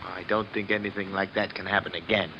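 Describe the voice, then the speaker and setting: An actor playing a stubborn, dismissive master of a house in a 1950s radio horror play, brisk but hesitant when rattled. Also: male voice, deep voice, level tone, natural voice. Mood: firm, uneasy. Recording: muffled, noisy old radio recording.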